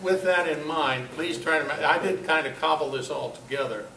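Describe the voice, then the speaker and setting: An older man giving an informal, halting talk to a club, with a self-deprecating, dryly humorous manner. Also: on the high side, pushed and bright. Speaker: guest speaker at a club meeting. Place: club meeting room, indoors. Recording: roomy, distant mic, noisy amateur recording.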